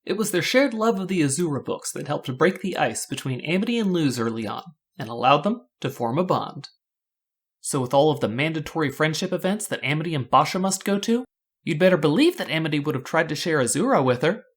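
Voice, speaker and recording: Lively low-voiced woman, slightly husky. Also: brisk. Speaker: video host. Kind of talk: scripted fan analysis narration.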